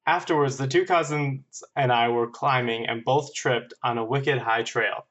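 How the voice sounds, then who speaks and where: Guy podcast guest, average pitch, kinda dull mic, self-deprecating, trips back over his phrases. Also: unhurried, fairly bright tone. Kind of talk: casual chat and reading listener emails aloud.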